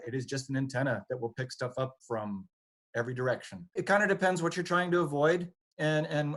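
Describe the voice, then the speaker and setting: Halting man explaining on a panel. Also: medium pitch, fairly bright tone. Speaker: audio technician on a training panel.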